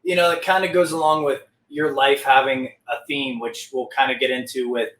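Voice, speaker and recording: A man's voice: medium pitch, flat delivery, fairly quick, a bit bright, a little hiss or hum. Casual man hosting, roomy distant sound. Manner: informal, chatty. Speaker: podcast host. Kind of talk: casual interview chat.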